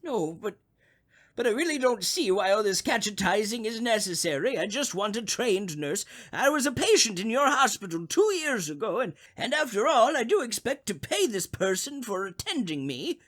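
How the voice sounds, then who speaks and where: Woman playing a crotchety sick man in a radio play, jittery and stuttery, high husky voice. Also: very animated, normal speed. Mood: anxious, querulous. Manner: stammering, agitated, repeats words. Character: crotchety, nervous invalid man, panicking and querulous. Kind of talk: radio drama acting.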